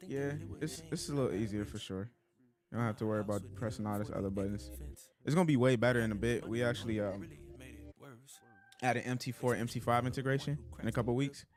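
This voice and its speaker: A man's voice: mid-range voice, fast talker, a crowd in the background. A man hosting a live trading stream, laid-back and slangy, swearing casually as he talks to members.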